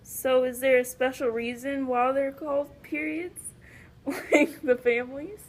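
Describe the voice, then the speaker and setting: A woman's voice: sits high, fairly even intonation, measured pace. A woman playing an inquisitive, slightly cheeky child student in an educational puppet show. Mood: curious.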